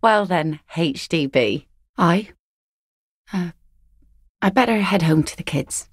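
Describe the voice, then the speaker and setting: A woman voicing an easygoing, philosophical seafaring mother in a video game, with a wry, gently teasing, folksy manner. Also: lowish voice, lively intonation, takes it slowly, husky, no room sound, studio quality, exaggerated voice. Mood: dry, friendly. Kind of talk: naturalistic video game dialogue acting.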